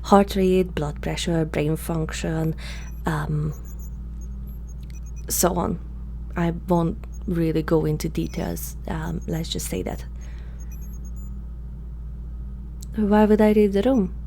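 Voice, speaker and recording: A woman plays a curious female alien scientist in an intimate ASMR roleplay, addressing the listener directly. Her voice is mellow and on the low side, with halting, awkward phrasing and soft delivery. A faint hum sits behind her. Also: steady tone, gravelly. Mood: matter-of-fact, awkward. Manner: hesitant, halting, softly spoken, sometimes whispering. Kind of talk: ASMR roleplay, one-sided dialogue to the listener. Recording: faint background hum and noise.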